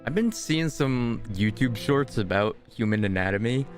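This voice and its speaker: A man's voice: mid-range voice, animated, moderate pace. A man giving casual gameplay commentary in a rambling, offhand way. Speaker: game streamer. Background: game music.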